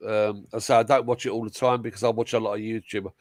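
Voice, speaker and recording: A man's voice: lowish voice, little pitch movement, quick pace, no echo. A man chatting casually on a live stream panel, easygoing and a little hesitant. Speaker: panel guest. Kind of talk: casual chat.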